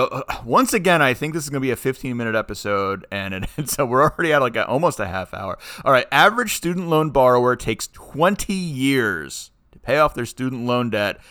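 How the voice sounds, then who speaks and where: Lively, bright-voiced male podcast host. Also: medium pitch, no echo, studio quality. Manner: animated, ranting. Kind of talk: casual chat.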